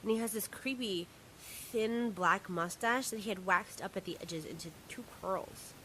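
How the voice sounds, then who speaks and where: An actress playing a young woman patient resting in bed, speaking softly and hesitantly. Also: mid-range voice, moderate pace, a little hiss or hum, lightly acted, poor audio. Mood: insistent.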